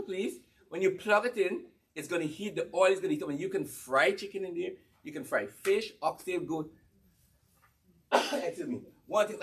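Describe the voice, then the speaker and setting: A man from Guyana with a Guyanese accent, giving a lively cookware demonstration talk to an audience, quizzing them and repeating his points. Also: a little high, far from the mic. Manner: energetic, asks the audience questions and repeats key phrases. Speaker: cookware presenter at a church gathering.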